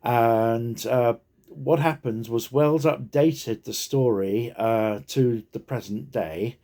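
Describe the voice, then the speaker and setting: Slow, halting man, mellow voice. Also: mid-range voice. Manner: halting, hesitant, heavily punctuated with ums and pauses. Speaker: speaker giving personal reflections. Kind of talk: informal unscripted talk.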